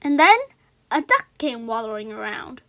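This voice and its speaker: A woman narrating a scripted children's story in a deliberate, phrase-by-phrase storytelling manner. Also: on the high side, very animated, sharp tone. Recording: music under the voice.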